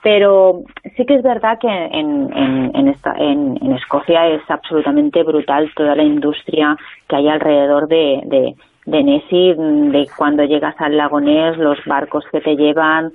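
Woman, Spanish accent, lowish voice, hesitant, phone-line sound. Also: fairly even intonation, measured pace. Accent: Spanish (Spain). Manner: hesitant, many restarts, laughs often. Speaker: guest author. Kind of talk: phone interview.

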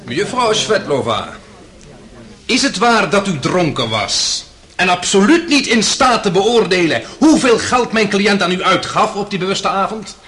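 An actor playing a forceful, insistent defence lawyer questioning formally in a radio drama. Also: male voice, high-pitched, big pitch swings, measured pace, exaggerated voice. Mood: accusing. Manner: formal, pressing, rhetorical.

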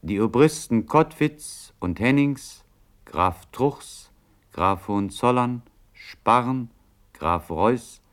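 A man narrating stage directions for a radio drama in a measured, formal scripted style. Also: medium pitch. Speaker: announcer reading stage directions.

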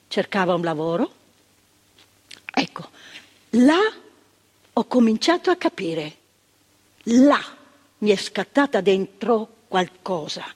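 An older actress playing a frank old nurse. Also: female voice, medium pitch, breathy edge. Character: older female psychiatric nurse, frank and earnest. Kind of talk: stage monologue. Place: theatre stage.

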